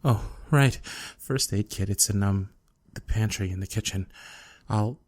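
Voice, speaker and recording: Man acting as a husky, low-voiced obsessive lovesick student. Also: measured pace, a little mellow, no echo. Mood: flustered. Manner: stammering, hesitant, coaxing. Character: obsessive lovesick male student, shy yet menacing yandere. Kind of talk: ASMR roleplay audio drama, intimate acting.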